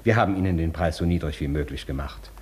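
An actor in a German radio play as a formal, unyielding hotel porter, courteous, measured and officious. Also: male voice, very deep voice, a little mellow. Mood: formal, regretful.

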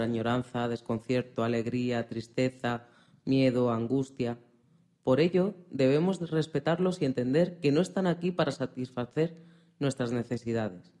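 A man's voice: medium pitch, a little mellow. A man presenting on a radio program, reading scripted narration.

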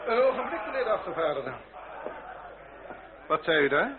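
An actor playing a haughty, menacing aristocratic marquis in a period radio play, stiffly formal and disdainful. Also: male voice, high-pitched, sing-song, normal speed, noisy background. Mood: offended, threatening. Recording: tinny narrowband old radio drama, crowd murmur.

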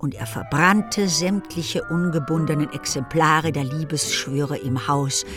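A woman's voice: deep voice, steady tone, measured pace. A woman narrating a literary radio drama in a scripted storytelling style. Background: music.

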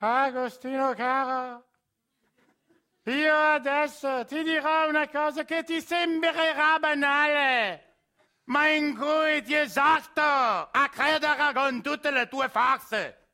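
A man's voice: extremely high pitch, fairly even intonation, slow pace, pushed and bright, pitched above the performer's own voice, heavily acted. A male stage actor playing an unctuous, sanctimonious priest who speaks in a solemn, mannered way.

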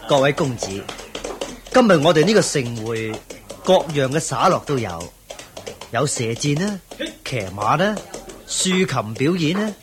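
An actor with a Hong Kong Cantonese accent playing a deferential, persuasive royal courtier in a radio drama, with courtly, expressive delivery. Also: male voice, a little high. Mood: proclaiming.

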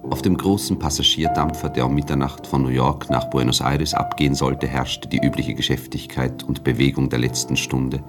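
A very deep-voiced man narrates a radio play as a refined, observant storyteller. His delivery is composed and measured, with articulate literary phrasing. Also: fairly even intonation, music in the background. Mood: composed, narrating. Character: refined male first-person narrator of a novella, observant and composed.

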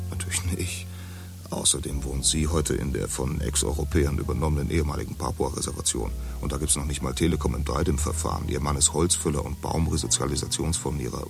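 A deep, gravelly man rambles earnestly in pseudo-technical jargon. His voice rises and falls in a lively way and often drops to a half-whisper. Music runs under the radio play. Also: heavily acted. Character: man of a future society, earnest, full of jargon. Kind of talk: radio drama acting.

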